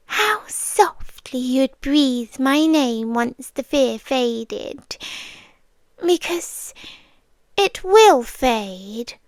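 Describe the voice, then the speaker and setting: A British woman playing a possessive, lovesick vampire girlfriend in an intimate ASMR roleplay, speaking softly and breathily, often slipping into whispers, with a coaxing, lingering delivery. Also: sits high, no room sound, heavily acted. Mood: wistful, menacing. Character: possessive, lovesick immortal vampire girlfriend, tender yet menacing.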